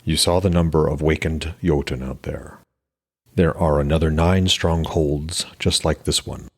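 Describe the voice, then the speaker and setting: A man voicing a calm, implacable giant war chief in an even, measured manner for a fantasy audiobook. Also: rumbling low, pitched below the performer's own voice, exaggerated voice. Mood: grave, explaining.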